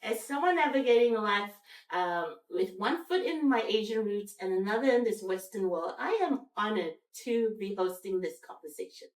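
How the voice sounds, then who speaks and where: A woman from Malaysia opens a company podcast as guest host, her delivery measured and scripted. Her voice sits at a middling pitch. The room adds a noticeable echo around her. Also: expressive. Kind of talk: hosting a corporate podcast panel.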